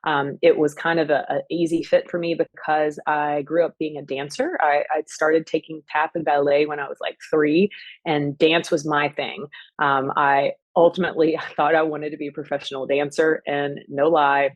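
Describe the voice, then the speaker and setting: A woman's voice: deep voice, flat delivery, normal speed, no room sound, muffled recording. A woman in her forties from Texas with a Texan accent, hosting her own show in a loose, rambling solo talk full of fillers and restarts. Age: 40s. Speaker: podcast host, physician. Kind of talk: casual solo monologue.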